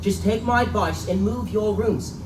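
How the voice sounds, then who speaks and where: A man playing an anxious, upright young gentleman who speaks in urgent, guarded, formal warnings at a live dramatic reading in an echoing room. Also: sits high, a little slow. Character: Lee, anxious upright young clergyman's son warning a friend. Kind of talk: live dramatic reading of gothic pulp tale. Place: live stage reading in a bookstore. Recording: echoey live recording, distant mic, faint noise.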